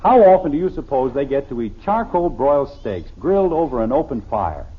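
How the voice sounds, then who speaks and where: An actor playing a stubborn, defensive middle-aged American suburban father in a blustery, exasperated 1950s radio sitcom style, with a husky voice. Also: mid-range voice, big pitch swings, brisk. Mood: indignant. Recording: dull, lo-fi old radio recording.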